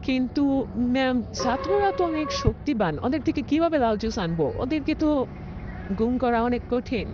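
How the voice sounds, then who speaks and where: A woman's voice: sits high, animated, moderate pace. A woman voicing a timid cartoon servant who pleads and hesitates before a tyrant queen. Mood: nervous. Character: timid, fumbling royal servant. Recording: muffled lo-fi with loud noise.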